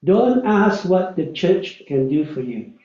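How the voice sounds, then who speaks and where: A man's voice: sits high, sing-song, takes it slowly, dark tone. A man in his sixties, a lay preacher telling personal stories in a chatty sermon, with frequent "uh" and "right?" asides. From Malaysia. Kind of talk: storytelling sermon. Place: church hall. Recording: echoey hall, distant mic, dull sound.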